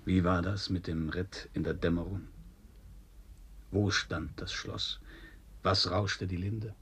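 An actor playing a mocking seafarer in a German radio play, declaiming in a sardonic, teasing way. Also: male voice, sits low, steady tone, slow pace, a bit bright, breathy edge, full character voice. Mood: wry, musing. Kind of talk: German radio play acting, literary dialogue.

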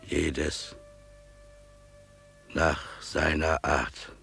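Very deep, gravelly, humble showman. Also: fairly even intonation, fairly bright tone, exaggerated voice. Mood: solemn, reverent. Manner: solemn, deliberate, reverent. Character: poor, humble wandering showman father pleading, then solemnly preaching. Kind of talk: 1950s German radio drama acting. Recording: 1950s radio recording, music behind.

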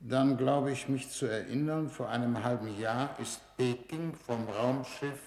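An actor playing a shrewd foreign minister leading a space mission, speaking in a measured, formal way in a 1960s German-language radio drama. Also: male voice, mid-range voice, a little mellow, natural voice. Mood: probing, ironic. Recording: roomy 1960s radio studio recording.

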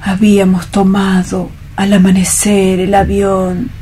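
An actress voices a dreamy woman recalling a memory in a radio play. Her delivery is lyrical and very flat in pitch, unfolding very slowly. A faint noise sits behind her. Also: lightly acted. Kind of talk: staged radio theatre reading.

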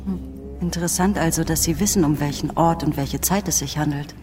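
An actress playing a sharp-witted, defiant young woman with a dry, ironic, precise manner in a German radio drama. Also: low-pitched, fairly quick, music in the background, lightly acted. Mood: defiant irony.

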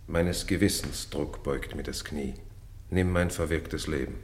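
An actor playing a remorseful villain who offers himself for judgement, grave and subdued, in a classical radio drama. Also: male voice, rumbling low, steady tone, unhurried. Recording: echoey, reverberant room.

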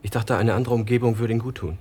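An actor in a German radio play playing a polite, reserved, quietly uneasy writer in a measured conversational way. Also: male voice, medium pitch, fairly even intonation, husky, natural voice. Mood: casual, friendly.

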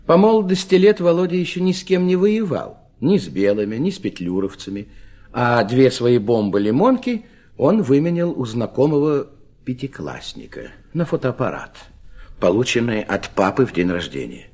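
A man's voice: mid-range voice, lively intonation, normal speed, darkish tone. A man narrating a literary story as a scripted drama narrator, with wry irony.

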